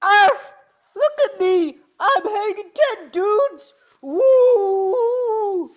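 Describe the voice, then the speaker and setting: A woman's voice: very high voice, extremely slow, exaggerated voice. A woman voicing an eager, heroic little cartoon dog in a radio play, barking between phrases. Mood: elated, showing off.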